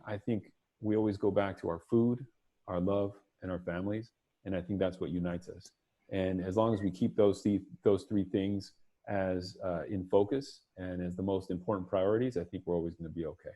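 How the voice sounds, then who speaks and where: Low voice guy on a video panel, chill, says you know a lot. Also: warm tone. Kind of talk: casual panel conversation. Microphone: video call mic.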